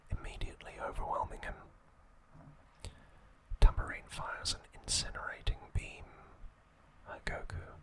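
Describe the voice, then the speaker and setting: A man narrating a scripted ASMR video in a breathy whisper, with deliberate phrasing in short pieces. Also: pushed and bright, rough recording. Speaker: host of an ASMR channel.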